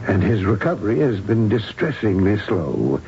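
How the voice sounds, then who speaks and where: A muffled, slightly hissy radio drama recording. A surgeon talks in a low, gravelly voice, formal and deliberate, with a condescending and evasive manner. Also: male voice, very animated, warm tone. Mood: solicitous. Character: smooth-talking, evasive, patronizing male surgeon at a clinic. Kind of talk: 1970s radio drama acting. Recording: dull, noisy 1970s radio recording.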